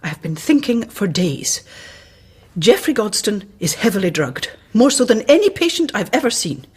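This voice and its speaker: Formal, husky, cautioning hospital woman. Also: mid-range voice, sing-song, a little mellow. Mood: grave, urgent. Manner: formal, precise, measured. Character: cautious, earnest senior woman hospital colleague, primly reproving. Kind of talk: radio drama acting.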